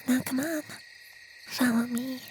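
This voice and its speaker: Slow, high, whispery gentle vampire played by a man. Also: steady tone, a little hiss or hum. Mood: gentle, confiding. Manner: soft, breathy, half-whispered, slow and soothing. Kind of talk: ASMR roleplay.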